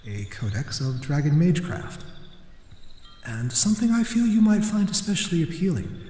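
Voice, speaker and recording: A man playing a courteous, scholarly library keeper in an ASMR roleplay, with formal, deferential, measured delivery. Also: a little high, warm tone. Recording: echoey hall reverb.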